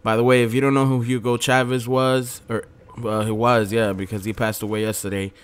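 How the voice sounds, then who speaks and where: Smooth-voiced casual male vlogger. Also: medium pitch, flat delivery, brisk. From Dominican Republic. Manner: rambling, frequent restarts and self-corrections. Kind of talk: casual opinion vlog.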